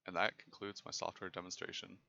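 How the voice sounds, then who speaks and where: A young man giving scripted technical narration as a presenter, in a measured, formal way. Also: deep voice, flat delivery, vocal fry, bright, forceful tone, muffled recording. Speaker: presenter of a student software project.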